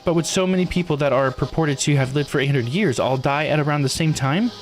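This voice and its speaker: A man's voice: a little high, fast, faint music behind, lightly acted. A man voicing an eager, bookish young theorist who explains things earnestly, read aloud from a visual novel.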